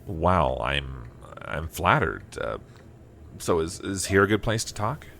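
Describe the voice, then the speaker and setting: Low-voiced, plain-spoken farmer played by a man. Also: big pitch swings, slow, creaky, a bit bright, slight background noise, heavily acted. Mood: surprised, hesitant. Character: plain-spoken, cautious farmer husband and father. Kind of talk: audio drama acting.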